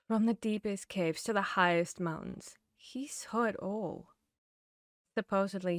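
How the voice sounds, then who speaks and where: A woman's voice: mid-range voice, dry recording, lightly acted. Woman acting as intimate female vampire hunter, very dry sound. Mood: admiring, storytelling. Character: female vampire hunter, confiding, bookish, teasing fantasy companion. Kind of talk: ASMR roleplay audio drama.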